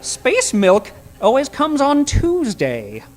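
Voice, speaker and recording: A woman plays a fussy, flustered professor in a radio serial spoof. The delivery is high and melodramatic, with big swoops in pitch. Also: huge pitch swings, takes it slowly, a little mellow, exaggerated voice. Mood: puzzled, deducing. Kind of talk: old-time radio parody acting.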